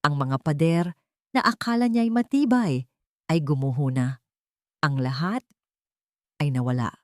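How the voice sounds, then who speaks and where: Low-voiced Filipino woman narrating a story. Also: dry recording, clean studio sound. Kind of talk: scripted dramatic story narration.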